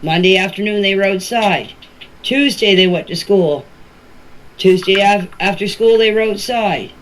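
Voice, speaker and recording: A woman's voice: medium pitch, measured pace. A middle-aged or older woman recounting events informally in voice messages, plainly and step by step, with colloquial grammar. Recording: noisy voice-message clips.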